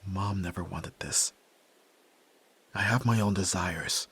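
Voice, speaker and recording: A man playing the sensitive, earnest young son of a crime boss in an ASMR roleplay, emotional and often hushed. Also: sits low, slow pace, heavily acted. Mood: pleading. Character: Yakuza boss's young son, sensitive, earnest, resolute nerd. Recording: clear background noise and ambience.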